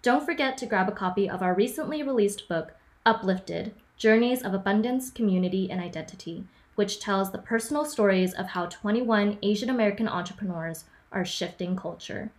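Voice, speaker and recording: A woman's voice: mid-range voice, brisk, few pauses. A woman reading a scripted podcast promotion. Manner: scripted announcer read.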